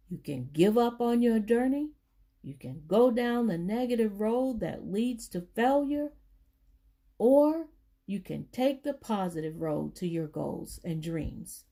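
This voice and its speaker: A woman reading a children's book aloud, with deliberate, emphatic phrasing for young listeners. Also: medium pitch, warm tone. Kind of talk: storybook read-aloud for children.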